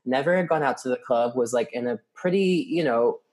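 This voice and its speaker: A man's voice: medium pitch, a little fast, a little mellow. A young man in his twenties chatting casually and candidly, often laughing and swearing lightly. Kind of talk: casual chat.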